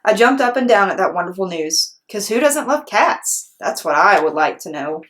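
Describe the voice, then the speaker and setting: Woman, medium-pitched, storyteller, animated, expressive children's book narration, phrased for young listeners. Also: moderate pace. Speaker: read-aloud storyteller.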